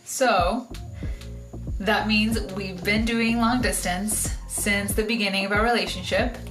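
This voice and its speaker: An upbeat young woman co-hosts a casual couple chat with a bright, chatty manner. Her voice sits at a medium pitch in a roomy space, and music plays clearly behind her. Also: fairly even intonation, unhurried. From Bogota, Colombia. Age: young adult. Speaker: co-host, photographer.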